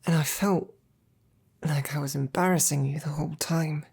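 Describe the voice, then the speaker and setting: A woman playing a devoted, self-doubting female royal knight in an intimate roleplay audio, speaking in a hesitant, informal, tender way with a low, gravelly voice. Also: steady tone, normal speed, a little mellow, heavily acted. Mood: self-deprecating.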